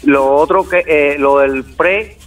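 A man calmly explaining procedures on a call, easygoing, laughing often and repeating himself. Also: on the high side, slow pace, distant mic. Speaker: phone-in guest. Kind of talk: casual explanatory chat.

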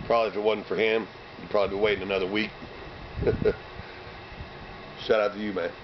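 A man in his thirties with a hoarse voice, rambling through casual slang-heavy shout-outs outdoors on a cold day, recorded by a camera a few meters away. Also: lowish voice, flat delivery, fairly quick. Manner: loose slang-heavy shout-outs, drinking between lines. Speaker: vlogger. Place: outdoors by bare trees and fields on a cold sunny day. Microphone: camera mic from a few meters away. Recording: dull lo-fi camera audio with outdoor noise.

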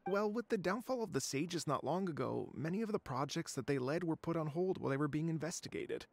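A man's voice: on the high side, animated, brisk, creaky. A man voicing an easygoing, chatty, somewhat evasive young male academic in a video game. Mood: explaining.

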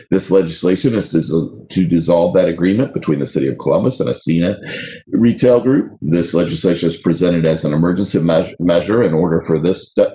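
A man's voice: sits low, warm tone. A man in his thirties chairing a city council committee over a video call, formally reading legislation aloud. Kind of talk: formal council meeting proceedings. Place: home room on a video call.

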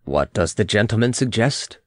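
A man's voice: lowish voice, lively intonation, moderate pace, dry recording, clean studio sound, close to an everyday voice. A man voicing a formal, deferential but insistent lawyer and court official in a dramatic audiobook. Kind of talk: dramatic audiobook character reading.

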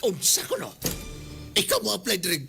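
A man's voice: high-pitched, big pitch swings, normal speed, vocal fry, full character voice. A man playing a playful, easily charmed employer interviewing a housemaid in a live radio drama, teasing and informal. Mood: doubtful. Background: music.

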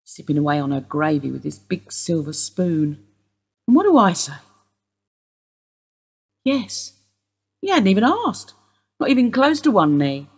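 An actress playing a sharp-tongued, bitter woman in her sixties, wry and sardonic in a radio play. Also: lowish voice, sing-song, a little slow, long pauses, slightly rough, full character voice.

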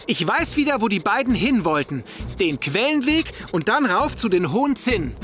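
A man playing a forgetful, cheerful handyman dad in a German cartoon dub, sheepish and excitable. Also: high-pitched, normal speed. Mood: excited. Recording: faint music behind.

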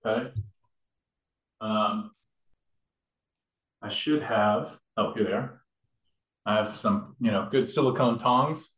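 A man's voice: on the low side, slow, pauses often, a bit bright. A man demonstrating a recipe in a kitchen, explaining each step casually with many fillers and restarts. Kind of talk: casual cooking demo. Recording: echoey room.

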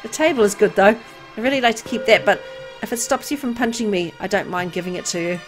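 A woman's voice: mid-range voice, fast, music in the background, close to an everyday voice. A woman voicing a timid, poor young boy who is hesitant, apologetic and naively eager to please, for a game. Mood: meek, rambling.

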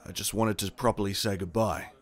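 A man's voice: on the low side, fairly even intonation, a little fast. An actor playing an earnest, self-doubting man, a reluctant leader in a sci-fi audio drama. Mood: tender, resigned.

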